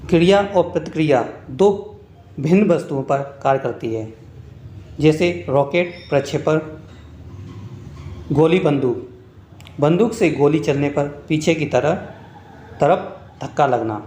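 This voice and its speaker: A man's voice: on the high side, a little mellow, a little hiss or hum. A man narrating a scripted lesson as an exam-prep teacher, reading out points one by one in an instructional way. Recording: echoey room.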